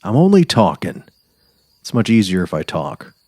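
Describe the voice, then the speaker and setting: Low-voiced, bitter dying writer played by a man. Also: huge pitch swings, normal speed, a little mellow, long reverb tail. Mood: sardonic, resigned. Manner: sardonic, resigned, quarrelsome. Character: bitter, weary dying male writer on safari. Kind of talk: dramatic reading of literary fiction.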